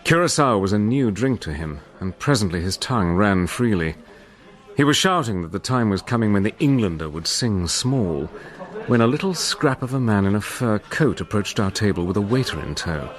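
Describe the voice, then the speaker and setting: An actor playing a cool, determined wartime adventurer, telling his own story in measured, dramatic narration. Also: fairly low pitch, animated, natural voice. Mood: measured, reflective. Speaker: narrator and lead character. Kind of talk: dramatic first-person scripted narration.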